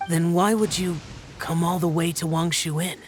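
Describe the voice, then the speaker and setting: A man's voice: a little high, fairly even intonation, moderate pace, slight background noise, lightly acted. A man playing a stern, aloof, youthful immortal guardian in a video game, speaking in a terse, reserved, formal way. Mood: respectful, puzzled. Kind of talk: restrained video game voice acting.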